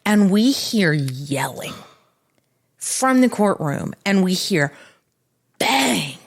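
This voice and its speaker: A woman with a middle-range voice that swings widely in pitch as she chats as a podcast host. She tells stories in an unscripted, dramatic style, acting out what people said. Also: takes it slowly. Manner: animated, dramatic retelling with acted quotes. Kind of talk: unscripted conversational storytelling.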